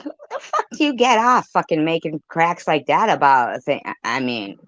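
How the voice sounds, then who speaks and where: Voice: medium pitch, wildly swooping pitch, moderate pace, fairly bright tone, dry recording. An indignant commentator giving off-the-cuff reactions, swearing and repeating phrases for emphasis. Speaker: commentator reacting to a played livestream clip. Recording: dull lo-fi sound.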